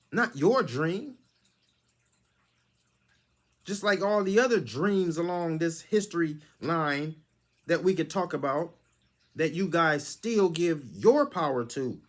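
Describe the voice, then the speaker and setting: A man hosting his own channel, giving a lecturing monologue that is full of rhetorical questions. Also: high-pitched. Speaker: channel host. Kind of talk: preachy monologue commentary.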